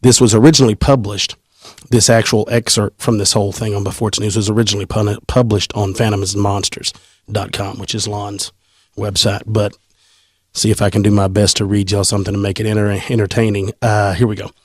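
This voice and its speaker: A man, hosting a casual podcast and reading a story aloud in an animated, teasing way. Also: lowish voice, a little fast, dry recording, studio quality. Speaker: podcast host.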